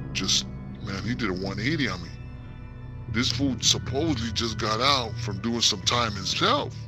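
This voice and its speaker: A man casually telling a street story in profane slang, animatedly acting out what others said. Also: on the low side. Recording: music playing behind the voice. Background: music.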